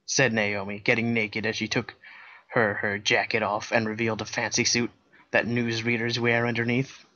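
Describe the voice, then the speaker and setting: Dull lo-fi recording, deadpan man reading. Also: on the low side, moderate pace. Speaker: co-reader, narrator. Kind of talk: comic fan-fiction reading with casual banter.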